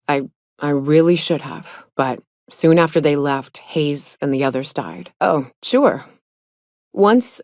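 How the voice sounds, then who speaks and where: An actress playing a tough, haunted, hard-drinking mercenary in a video game, talking in a blunt, folksy, world-weary way. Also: female voice, low voice, dry recording, clean studio sound. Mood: regretful, then storytelling. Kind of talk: video game character dialogue.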